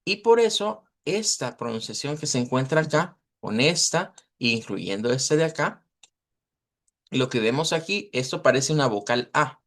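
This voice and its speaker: A male teacher with a Latin American Spanish accent and a mid-pitched voice. He explains pronunciation in an informal, didactic way. His delivery is deliberate, with small check-in questions along the way. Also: animated, a bit bright. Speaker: language teacher. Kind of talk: informal online language lesson, explaining pronunciation.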